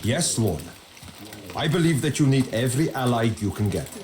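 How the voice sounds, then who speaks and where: An actor playing a loyal, courteous nobleman in a medieval video game, speaking in a measured, earnest way. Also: medium pitch, expressive, slight background noise, natural voice. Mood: earnest, polite. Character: loyal, courteous Middle Eastern nobleman, childhood friend of a Sultan. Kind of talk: dramatic video game cutscene acting. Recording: echoey voice over loud game music.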